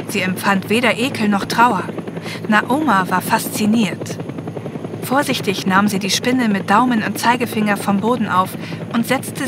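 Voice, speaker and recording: A woman reading scripted story narration as the narrator of an audio drama. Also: mid-range voice. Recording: clear helicopter and effects noise behind. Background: ambience, helicopter, vehicles.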